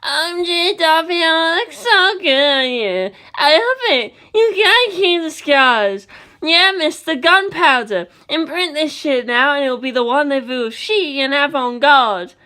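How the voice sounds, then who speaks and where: A woman voicing a crowd of tiny, rowdy bullet-riding creatures who gush excitedly in a high voice. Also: unhurried, full character voice.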